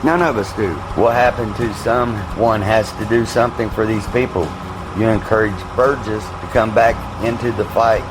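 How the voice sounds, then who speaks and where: A man's voice: lowish voice, steady tone, moderate pace, lightly acted. A man acting in an audio drama as a hardened, pragmatic fighter with a blunt, matter-of-fact manner. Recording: clear engine and vehicle noise behind.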